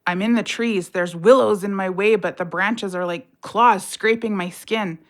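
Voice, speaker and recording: A woman's voice: medium pitch, fairly even intonation, measured pace, lightly acted. An actress playing a bitter, sardonic widowed mother of three in a naturalistic audio play, blunt and colloquial in her talk. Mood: haunted, distressed. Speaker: voice actor in audio drama.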